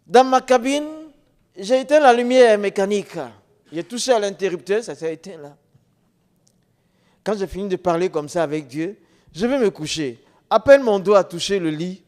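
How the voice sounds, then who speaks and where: A man's voice: high-pitched, expressive, moderate pace, a little mellow. A middle-aged man giving an emphatic testimony as a preacher, repeating words for stress. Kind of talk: sermon testimony. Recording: long reverb of a church hall.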